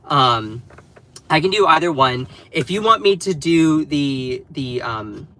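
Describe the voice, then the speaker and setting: A man's voice: medium pitch, bright, forceful tone. Chatty man, nasal from a cold. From North Carolina. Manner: chatty, addresses the live chat directly. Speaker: political livestream host. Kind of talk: casual live commentary.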